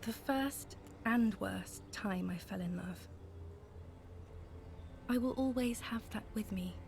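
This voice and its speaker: An actress voicing a haunting former lover in a video game, speaking softly and breathily. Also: female voice, mid-range voice, slow, faint music behind. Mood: tender. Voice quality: breathy, whispering. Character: lost former lover, wistful, haunting woman.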